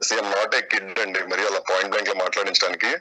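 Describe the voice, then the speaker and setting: A man's voice: low-pitched, flat delivery, pushed and bright, rough recording. A man answering interview questions spontaneously, defensive and evasive, often repeating himself. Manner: defensive, evasive, halting repetitions.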